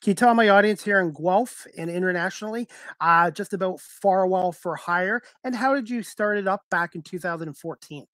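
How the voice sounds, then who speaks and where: Man, middle-aged, high-pitched, sports talk host, headset, dry sound. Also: normal speed, fairly bright tone, no echo. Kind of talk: hosting a talk show. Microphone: headset on a video call.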